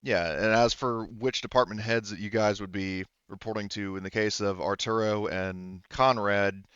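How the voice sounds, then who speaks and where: A man's voice: fairly low pitch, steady tone, normal speed, a bit bright, clean tone, dry recording, highs cut off. A man casually explaining a tabletop game as game master, in an easygoing, informal way.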